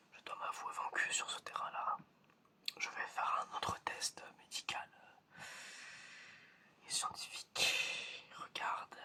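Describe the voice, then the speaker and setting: An ASMR performer playing an eccentric examiner in a whispered roleplay, speaking slowly and hesitantly and repeating phrases. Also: bright, forceful tone. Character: eccentric examiner testing whether the listener is human.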